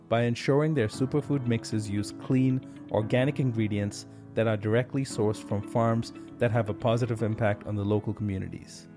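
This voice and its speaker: Male podcast host, relaxed interviewer, fillers and easy questions, guitar music behind the intro. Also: medium pitch. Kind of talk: scripted intro then casual interview.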